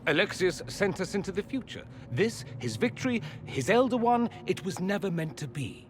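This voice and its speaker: Lively, witty gentleman mage. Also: sits high, moderate pace, slight background noise. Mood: urgent explaining. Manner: articulate, wry, expressive. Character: witty, urbane adult male mage, curious and concerned. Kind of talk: dramatic video game dialogue.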